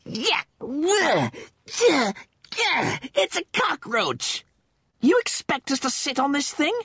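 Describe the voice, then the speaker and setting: A vain and excitable cat creature in human shape for a space comedy audiobook. The voice sits very high and swings about, squeamish and showy. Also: male voice, slow, breathy edge, dry recording, clean studio sound, exaggerated voice. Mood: disgusted. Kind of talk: audiobook character acting.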